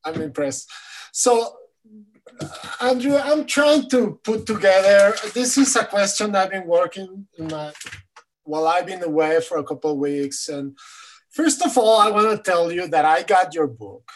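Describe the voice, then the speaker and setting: A man's voice: high-pitched, animated, poor audio. Guy on a video call, friendly, stumbles a little, faint hiss behind. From Mexico. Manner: friendly, halting. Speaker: caller. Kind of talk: casual chat.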